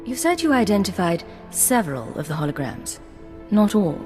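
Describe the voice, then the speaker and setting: An actress playing a composed, steely secret agent in a sci-fi game, with a controlled, terse delivery. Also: female voice, medium pitch, big pitch swings, normal speed, darkish tone, faint music behind, lightly acted. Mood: cool. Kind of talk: scripted sci-fi game acting.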